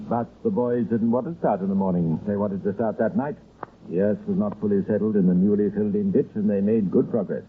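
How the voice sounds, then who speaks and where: A man with a fairly low voice narrates an old-time radio crime story. He tells it in a measured, scripted way. The recording is thin and narrow, with faint noise underneath. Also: steady tone.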